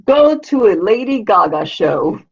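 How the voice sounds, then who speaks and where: A woman with a low, raspy voice hosting a casual live video show. She rambles crudely and leans on constant "you know" fillers. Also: very animated, measured pace, darkish tone, highs cut off. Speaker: vlogger, show host.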